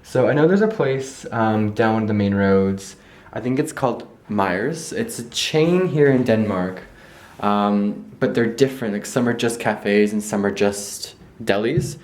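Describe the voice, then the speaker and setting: A man's voice: on the low side, unhurried, darkish tone. Rambling young Canadian man vlogging. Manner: rambling, self-correcting, thinks aloud. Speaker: travel vlogger. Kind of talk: casual travel vlog. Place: outdoors in a park and indoors at an apartment.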